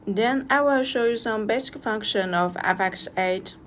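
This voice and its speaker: A woman's voice: mid-range voice, unhurried, over loud music. A woman reads a scripted product review as a narrator, going through instructions step by step.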